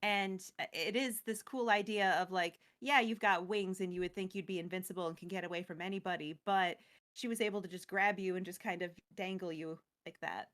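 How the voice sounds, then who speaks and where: A woman co-hosting a recap show, retelling a story in a casual, jokey, slangy and expressive way. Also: lowish voice, fairly quick. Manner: expressive, slangy, acts out quotes playfully.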